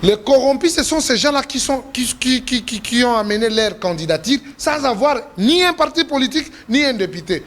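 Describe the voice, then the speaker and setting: A Congolese politician being interviewed, speaking French with a Congolese accent, forcefully repeating and stressing his points, his voice rising when indignant. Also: expressive, brisk, few pauses. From Democratic Republic of the Congo. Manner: emphatic, repeats himself, pitch jumps when indignant. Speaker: politician guest.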